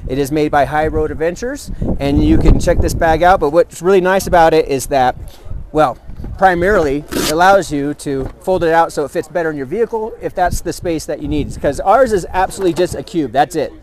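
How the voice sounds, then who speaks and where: A man's voice: a little high, brisk, darkish tone, slight background noise. A man in his thirties giving an eager, hands-on product demonstration at an outdoor expo booth, recorded on a camera mic a few feet away. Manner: enthusiastic, explanatory, hands-on demonstrating. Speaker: product representative.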